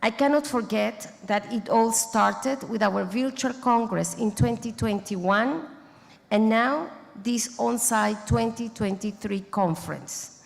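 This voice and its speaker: A woman, not a native English speaker, giving a formal closing speech at a conference, halting and restarting mid-phrase as she thanks organizers and names board members. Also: fairly high pitch, vocal fry. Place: large conference hall. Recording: long hall reverb.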